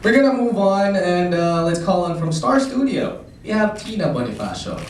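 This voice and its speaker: Lively high-voiced male moderator. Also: normal speed, slight background noise. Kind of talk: announcing speakers. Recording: very echoey distant room.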